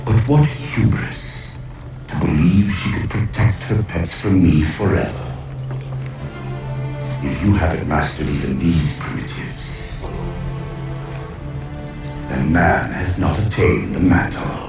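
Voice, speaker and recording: Video game villain: vengeful ancient alien warlord, low gravelly voice, grandiose contempt. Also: male voice, distant mic. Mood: bitter contempt. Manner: pompous, slow theatrical pronouncements. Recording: cavernous echo from afar, orchestral music behind.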